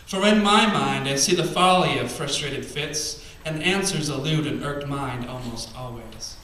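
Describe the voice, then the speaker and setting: Male spoken word poet, thirties, bright edge, punchy rhythmic reading into a stand mic, heard from the back of a boomy cafe. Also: unhurried, a little hiss or hum. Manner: emphatic, rhythmic poetry delivery with quiet asides between poems. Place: small cafe stage. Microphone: stand mic, far camera. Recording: echoey venue, camera from far off.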